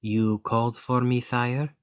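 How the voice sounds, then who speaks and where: A man's voice: medium pitch, flat delivery, slow, background noise, close to an everyday voice. A man voicing a loyal veteran knight bodyguard who speaks formally and dutifully, in an ASMR roleplay.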